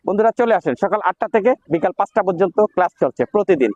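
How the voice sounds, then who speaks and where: Fast-talking, higher-pitched Bangladeshi man. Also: lively intonation, darkish tone, no room sound, muffled recording. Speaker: driving instructor and presenter of a driving school. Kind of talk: promotional vlog presenting and instructing.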